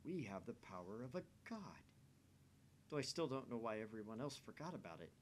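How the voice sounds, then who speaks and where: A man's voice: medium pitch, sing-song, fairly quick, natural voice. A man voicing an intense, bewildered young man from a visual novel, dramatic and questioning. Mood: dramatic, troubled. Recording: faint background noise.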